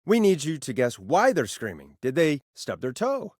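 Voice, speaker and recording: An actor playing a wry, jaded male radio phone-in host in a video game, sardonic and expressive, moving between showy patter and casual talk. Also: fairly high pitch, moderate pace, dry recording, studio quality. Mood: showy, upbeat. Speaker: late-night radio host.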